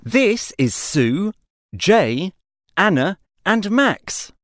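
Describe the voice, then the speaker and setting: A woman doing a very slow, welcoming boy. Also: medium pitch, sing-song. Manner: clear, very slow, careful delivery. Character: welcoming young boy at camp. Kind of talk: scripted textbook dialogue.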